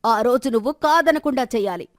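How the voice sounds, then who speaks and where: A woman's voice: on the high side, animated, brisk, no room sound, clean studio sound, natural voice. A woman voicing a merciful female ghost who speaks solemnly and with authority in a story. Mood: benevolent, commanding. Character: merciful female ghost granting a boon, commanding.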